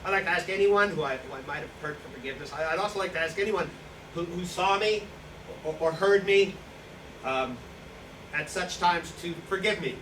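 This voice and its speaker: A man playing a self-pitying, self-justifying wartime profiteer in a staged reading, halting and indignant, with nervous chuckles. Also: sits high, far from the mic, natural voice. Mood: pleading, remorseful. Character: self-pitying, self-justifying Nazi-era profiteer who seized a bookstore. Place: live staged reading in a room. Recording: echoey, noisy hall recorded from afar.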